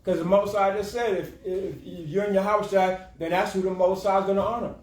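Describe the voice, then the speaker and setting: A man's voice: high voice, flat delivery, fairly quick, a little mellow. A man teaching scripture informally as a class leader, repeating his points and checking in with short tag questions. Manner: repetitive, punctuating with "okay" and "huh". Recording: echoey room, distant mic.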